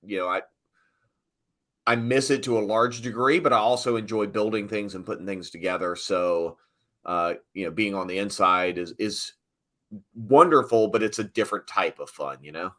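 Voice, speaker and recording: Bright, forceful middle-aged man, casual storyteller. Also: fairly low pitch, moderate pace. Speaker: sports PA announcer, interview guest. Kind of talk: casual storytelling interview chat.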